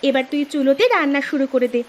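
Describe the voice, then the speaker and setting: A woman plays a food-loving, carefree cartoon sister in a high voice. She is bossy and brushes worries aside breezily. A little noise sits behind her. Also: fairly even intonation, rapid-fire, lightly acted. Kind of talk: scripted cartoon story dialogue.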